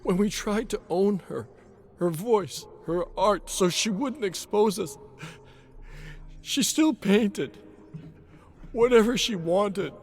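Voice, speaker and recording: A man voicing a dying, drugged secret agent who confesses in despair, agitated and halting, in a dramatic audio story. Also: high voice, animated, voice pushed up, full character voice. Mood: desperate confession. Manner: agitated, halting, breaking into anguished strain.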